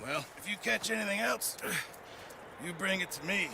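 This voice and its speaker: An actor playing a jovial, rum-loving camp cook with a gravelly voice in a video game cutscene. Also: male voice, sits high, animated, normal speed, background noise. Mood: chummy.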